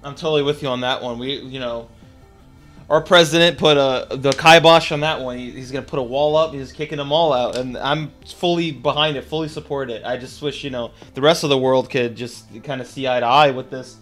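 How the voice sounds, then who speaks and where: A man chatting casually on voice chat, mock-earnest and deadpan. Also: medium pitch, a little fast, soft background music.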